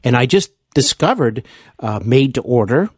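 A man's voice: mid-range voice, very animated, normal speed, breathy edge, no echo. Casting: male interviewer, mid-range voice, supportive and chatty, recorded dry and close. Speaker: podcast interview host. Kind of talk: conversational interview.

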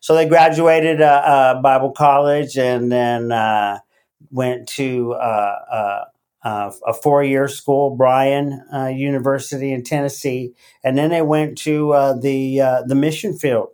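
A middle-aged man who grew up in Latin America talks slowly and hesitantly, with lots of fillers and restarts. His pitch sits in the middle range. He sounds like a guest settling into a casual interview. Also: level tone, darkish tone, clear, resonant tone. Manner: halting, many fillers and restarts. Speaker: podcast guest.

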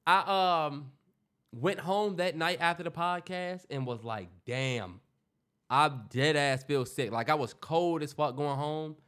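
A young man co-hosting a podcast, his voice congested. He tells his stories in an animated, joking way, swearing freely. His pitch sits slightly high for a man. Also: slow, clear, resonant tone. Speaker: podcast co-host. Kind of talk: casual storytelling chat.

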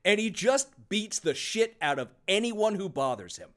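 A man's voice: high-pitched, big pitch swings, normal speed, a bit bright. A man co-hosting a casual podcast about a book, sarcastic and swearing freely, laughing often. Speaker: podcast co-host.

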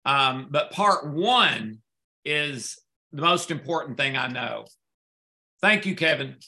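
A man's voice: medium pitch, lively intonation, slow pace, sharp tone. A man hosting a casual live chat, earnest, often repeating words as he starts a sentence. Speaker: author and host.

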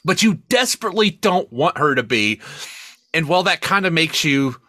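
Bright, emphatic man talking movies. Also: fairly high pitch, big pitch swings, moderate pace, no room sound, clean studio sound. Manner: emphatic, sweary. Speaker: podcast co-host reviewing films. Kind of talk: casual, opinionated film discussion.

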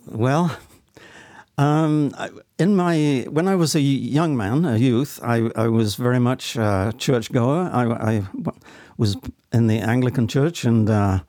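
A man in his seventies with an English accent, speaking reflectively and haltingly as an interview guest. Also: mid-range voice, darkish tone, no echo, studio quality. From England. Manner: halting, deliberate.

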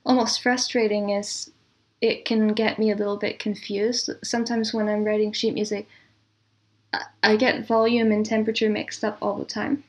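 Woman with an even, measured delivery. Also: medium pitch. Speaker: interview guest, composer and audio drama producer. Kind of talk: conversational interview answers.

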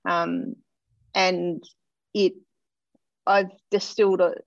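A gravelly-voiced Australian woman talks through her answers slowly and thoughtfully, pausing and restarting as she gathers her words. She sounds like she's on a dull, lo-fi remote line. The room around her is dry and close. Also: lowish voice, fairly even intonation, dry recording. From Australia (Melbourne). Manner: thoughtful, hesitant, lots of fillers and restarts. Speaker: doctor and interview guest. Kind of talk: casual interview answers.

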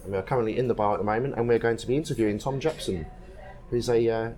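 A man hosting a casual podcast interview, his voice croaky and gruff from a throat infection, chatty and relaxed with plenty of fillers. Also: mid-range voice, very fast. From England. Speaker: podcast host. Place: co-working space bar.

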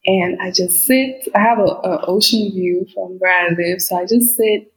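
A woman's voice: mid-range voice, steady tone, normal speed, rough recording. A middle-aged woman from Liberia, raised in the United States, speaking as a business coach guest in an earnest, reflective way. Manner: reflective, earnest, with frequent "you know". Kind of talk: casual interview talk.

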